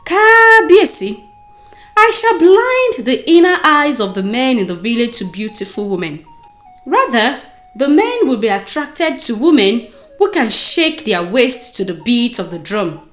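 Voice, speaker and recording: A woman's voice: a little high, very animated, a little slow, fairly bright tone, roomy sound, faint music behind. A woman voicing a solemn male chief priest who declaims ceremonially in a folktale.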